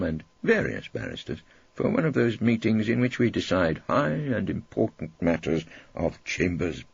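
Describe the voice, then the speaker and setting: A man's voice: fairly low pitch, vocal fry, husky, no room sound, muffled recording. An English man narrating a scripted first-person story for an audiobook in a wry, theatrical manner.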